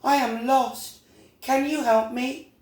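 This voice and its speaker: A man's voice: extremely high pitch, steady tone, slow, roomy sound, pitched above the performer's own voice. A man voicing a small, young female bee in a storybook, polite and earnest in a pleading way. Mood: lost, pleading.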